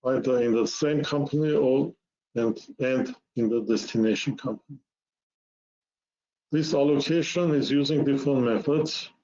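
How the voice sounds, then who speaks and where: A man narrating a software demo webinar, explaining step by step in a halting way with repeated words. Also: medium pitch. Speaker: software presenter. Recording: muffled lo-fi webinar audio.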